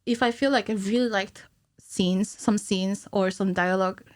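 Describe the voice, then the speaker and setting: A woman's voice: mid-range voice, fairly even intonation, moderate pace. Woman chatting informally as podcast guest. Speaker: podcast guest, cinematic animator. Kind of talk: casual interview chat. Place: small podcast studio indoors. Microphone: studio microphone on stand, with headphones.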